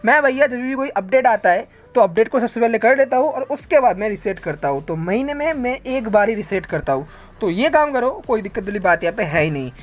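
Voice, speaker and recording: A man's voice: extremely high pitch, expressive, brisk. A man answers viewer questions in a casual, informal vlog style, addressing listeners like friends.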